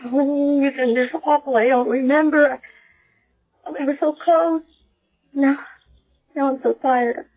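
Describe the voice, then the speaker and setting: An actress playing a troubled, earnest young secretary in a 1970s radio drama, speaking haltingly. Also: female voice, high-pitched, steady tone, darkish tone. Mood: confused, straining. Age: young adult. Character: troubled young secretary regaining her memory, earnest and torn. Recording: muffled 1970s radio broadcast.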